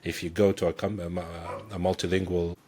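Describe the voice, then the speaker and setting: A man speaking as a guest on a podcast, explaining his work in a thoughtful way, with many pauses and restarts. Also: low-pitched, little pitch movement, warm tone. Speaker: guest, technical director. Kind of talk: conversational explanation.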